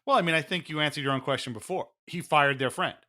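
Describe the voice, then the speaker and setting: A man co-hosting a podcast, explaining things in casual sports talk with animated, wry asides. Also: a little high, quick pace. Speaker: podcast co-host.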